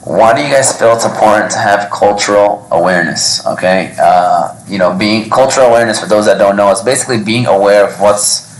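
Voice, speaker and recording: A man's voice: on the low side, fairly quick, hardly any pauses. A man of Yemeni background hosting a live podcast panel, talking casually with lots of ums and restarts. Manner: rambling, hesitant with many ums. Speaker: podcast host. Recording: echoey restaurant room.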